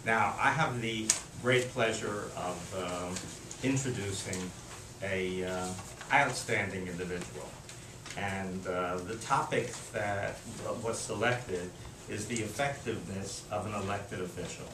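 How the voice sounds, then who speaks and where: A man's voice: lowish voice, unhurried. An older man, hosting a class lecture and introducing a guest in a casual, friendly way, with fillers. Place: small classroom. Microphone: far camera. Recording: echoey room from far off, noisy.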